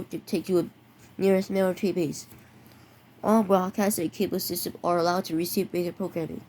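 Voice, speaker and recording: A voice in a fan-made emergency alert, reading warnings haltingly, with frequent restarts and stumbled words. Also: female voice, lowish voice, darkish tone, slight background noise, poor audio. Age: teenager. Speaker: mock emergency alert announcer. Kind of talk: improvised fake emergency broadcast.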